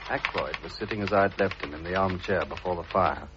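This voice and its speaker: A man giving a dramatic reading of a novel excerpt in a hushed, grave manner, from a dull old radio recording. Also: low-pitched, fairly quick, heavy background noise.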